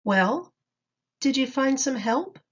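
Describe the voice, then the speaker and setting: A woman voicing a gentle, caring mother turtle in a children's storybook, tender and soothing. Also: high-pitched, expressive, slow, breathy edge, voice pushed up, full character voice. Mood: caring, reassuring. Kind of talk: children's storybook read-aloud. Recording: dull lo-fi recording.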